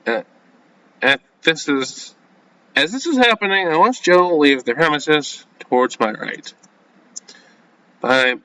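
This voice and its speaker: A man hosting a gaming video, reading a visual novel aloud and breaking off into flustered, halting, slangy reactions. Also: fairly high pitch, bright, forceful tone, poor audio. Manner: flustered, halting, self-censoring with casual slang.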